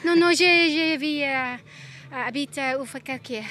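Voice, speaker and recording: Tired female trail runner, slow and halting French, high bright voice. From South Africa and Canada. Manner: hesitant, halting, searching for words. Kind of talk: out-of-breath post-race interview. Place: outdoors at a race finish.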